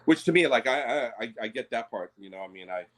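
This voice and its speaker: A man's voice: medium pitch, lively intonation, fast. A Native Hawaiian man talking things through on a podcast, hesitant, with many fillers and restarts. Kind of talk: casual discussion.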